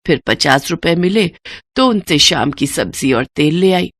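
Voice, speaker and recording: Woman as humble elderly mother, lowish voice. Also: animated, unhurried, dry recording, studio quality, heavily acted. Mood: weary, caring. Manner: deferential, gentle, reassuring. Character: humble, devout, hardworking sixty-year-old mother and labourer.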